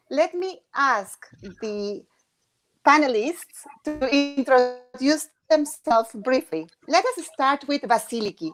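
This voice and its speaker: High-voiced eager woman host. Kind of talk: online interview.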